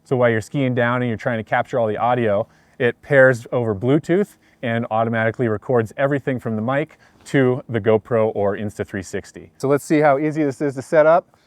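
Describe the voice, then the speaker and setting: A man's voice: medium pitch, brisk, dry recording. A man giving a casual product review in vlog style, explaining things in a laid-back, plain way. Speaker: host of a ski gear review.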